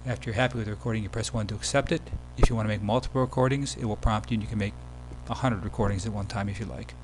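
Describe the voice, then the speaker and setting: A man giving a casual, step-by-step software tutorial as the presenter of a screen demo. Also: on the low side, fairly even intonation, very fast. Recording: background hum and noise.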